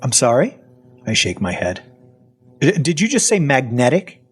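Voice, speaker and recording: A man's voice: medium pitch, wildly swooping pitch, normal speed, husky. A man playing a wry, sarcastic infected man who narrates his own story in an audio drama. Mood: puzzled. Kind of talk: first-person audio drama narration and acting.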